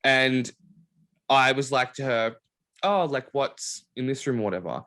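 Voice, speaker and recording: A man's voice: medium pitch, a little slow. A young man hosting a podcast, chatting casually and telling stories full of "like" fillers. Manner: animated storytelling, many "like" fillers. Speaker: podcast host. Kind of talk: casual chat.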